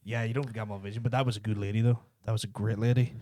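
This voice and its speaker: A man co-hosting a gaming podcast, reacting quickly and excitedly to trailers and swearing freely. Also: fairly low pitch, a crowd in the background. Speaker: podcast co-host. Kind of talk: casual chat reacting to game trailers.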